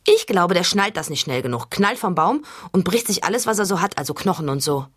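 A woman's voice: on the low side, expressive, a little fast, breathy edge, no echo. A woman playing an earnest, naive, stubborn young interviewee in a satirical radio sketch, talking colloquially. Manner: earnest, colloquial, literal-minded.

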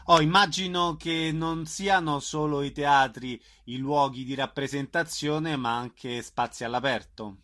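A man's voice: on the high side, unhurried, few pauses, bright, forceful tone. A man hosting an informal radio interview and putting questions to his guests.

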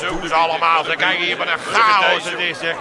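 A man's voice: fairly high pitch, little pitch movement, a little fast, lightly acted. A man playing an easily outraged radio show host in a comedy sketch, blustering, sarcastic and repeating himself. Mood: alarmed exasperation. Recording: music and crowd noise behind.

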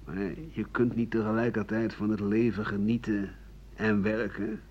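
A man playing a weary, embittered writer of 67 in a radio play, grumbling in halting phrases that trail into breathy murmurs. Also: fairly low pitch, flat delivery, natural voice. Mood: bitter, weary. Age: older. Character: embittered, weary 67-year-old French writer and doctor, pessimistic. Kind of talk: radio play monologue as interview answers. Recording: dull, hissy 1980 radio recording.

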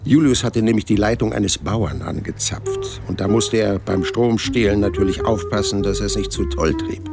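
A man's voice: on the low side, huge pitch swings, moderate pace, creaky, hardly any pauses, full character voice. An actor playing an unflappable, sly hundred-year-old man in an audio drama, telling his story in a dry, matter-of-fact, colloquial way. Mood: wry, matter-of-fact. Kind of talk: audio drama first-person narration and dialogue. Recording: radio drama production with music.

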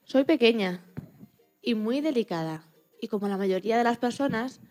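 A woman voicing a small, delicate female animal telling her own story gently in a radio programme. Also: on the high side, moderate pace, soft background music, natural voice. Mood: gentle, reflective. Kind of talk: first-person scripted animal monologue.

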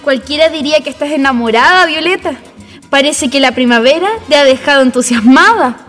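Radio drama role: excitable Chilean gossip voiced by a woman, high swooping voice, music underneath. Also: brisk. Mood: teasing. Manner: animated, colloquial Chilean storytelling. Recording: music behind the voice.

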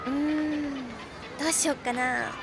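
Tomboy anime schoolgirl, high bouncy voice, frank n easily flustered, music loud behind. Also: fairly even intonation, creaky. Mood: evasive, friendly. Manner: brisk, frank casual speech. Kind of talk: anime audio drama acting.

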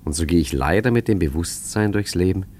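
A suave, scheming gentleman crook with a low voice. He speaks in an urbane, wry and faintly condescending way, as in a 1950s radio play. There is light noise on the old recording. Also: lively intonation, brisk. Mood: wry, reflective. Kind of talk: 1950s German radio play acting and narration.

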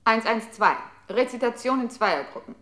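A woman's voice: a little high, lively intonation, moderate pace, fairly bright tone. A woman playing a computer's control unit in a 1960s German experimental radio drama, announcing numbers and commands in a clipped, formal manner. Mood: impersonal, procedural.

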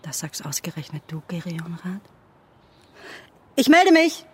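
A woman's voice: on the low side, big pitch swings, unhurried, a little mellow, slightly rough, heavily acted. An actress playing a guarded but caring young woman, cool and direct, in a naturalistic German radio drama.

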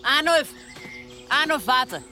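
An actress playing a determined outsider wife, emotional and insistent, in a German radio drama. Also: female voice, high-pitched, expressive, slow pace, bright, forceful tone, faint music behind, exaggerated voice. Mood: urgent. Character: determined, passionate outsider wife in an old village. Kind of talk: German radio play acting.

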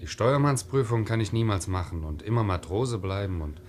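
An actor playing a modest, disillusioned young sailor, hesitant and resigned, in a 1950s radio drama. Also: male voice, lowish voice, a little fast, a little mellow, a little hiss or hum, lightly acted. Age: young adult.

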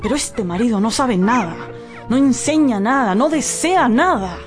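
An actress playing a dreamy, discontented young wife, genteel and theatrical, in a radio drama. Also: female voice, fairly high pitch, animated, moderate pace, soft background music, natural voice. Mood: bitter longing.

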